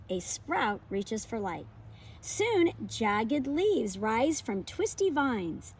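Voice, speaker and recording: An American woman hosting a homeschool lesson and children's read-aloud, with expressive, emphatic storybook phrasing. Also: high-pitched, husky. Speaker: homeschool educator and channel host.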